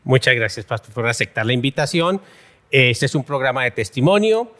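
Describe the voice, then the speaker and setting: A man's voice: mid-range voice, very animated, a little fast, a bit bright. A man hosting a studio interview show, welcoming viewers and introducing his guest in an upbeat, formal way. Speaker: interview host.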